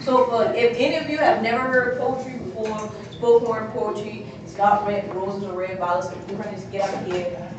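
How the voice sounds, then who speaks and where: A woman's voice: mid-range voice, fairly even intonation, normal speed, dull audio. A young woman performing spoken word poetry live, with rhythmic, emphatic delivery and easy banter with the crowd. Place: art gallery, indoors, live audience. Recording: echoey hall, distant and noisy.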